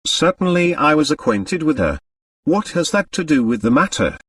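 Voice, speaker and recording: Haughty, suave aristocratic count played by a male reader, French, formal, very expressive, dry sound. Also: on the high side, moderate pace, slightly rough, no room sound, far from the mic, voice pushed up, full character voice. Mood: cold, haughty. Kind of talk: audiobook character dialogue.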